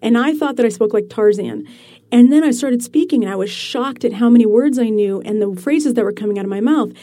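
Bright-voiced woman author explaining. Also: a little high, fairly quick. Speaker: author guest. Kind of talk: radio interview.

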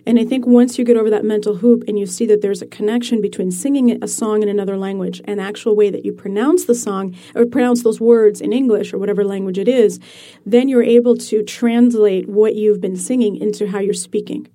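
A woman author being interviewed, explaining her ideas in an animated way and laughing easily. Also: mid-range voice, fairly quick. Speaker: author guest. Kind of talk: radio interview.

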